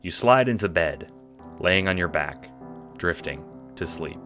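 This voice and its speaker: Guy narrating an audio drama, lowish voice, really moves around in pitch. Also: animated, unhurried, loud music behind the voice. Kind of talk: scripted second-person storybook narration.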